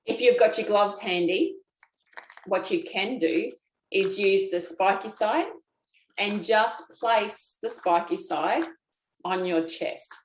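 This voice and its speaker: Chatty woman teaching self-massage, slow. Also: medium pitch, echoey room, rough recording. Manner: chatty, folksy, talks to viewers directly. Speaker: lymphatic drainage instructor. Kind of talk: guided self-massage class.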